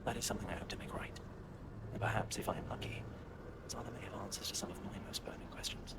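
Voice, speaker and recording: A man's voice: fairly low pitch, fast talker, in a whisper, lightly acted. A man playing an earnest, searching brother in a fantasy game, with a formal, articulate way of speaking. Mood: wistful, guilty. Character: earnest, searching man seeking his lost sister. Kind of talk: scripted fantasy game dialogue. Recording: very loud game ambience behind voice. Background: loud ambience and noise.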